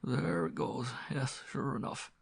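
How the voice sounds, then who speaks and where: A man voicing a timid old butler with a rustic English dialect, speaking in a quiet, deferential mumble. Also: medium pitch, lively intonation, a little slow, vocal fry, breathy edge, dry recording, voice pushed up, exaggerated voice. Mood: nervous. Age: older.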